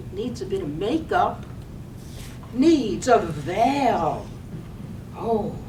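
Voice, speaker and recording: A cheeky young maid, played by a woman in a poetic drama, teasing and very high in pitch. She draws her words out very slowly and swings up and down. The mic is distant in a roomy space. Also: darkish tone, full character voice. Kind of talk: poetic radio play read aloud on stage. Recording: echoey room, distant mic, faint rumble.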